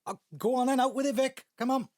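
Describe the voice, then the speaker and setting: An actor playing a bitter, mocking grown-up son, sarcastic and accusing, in a naturalistic audio drama. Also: male voice, very high voice, steady tone, quick pace, a little mellow, no echo, clean studio sound.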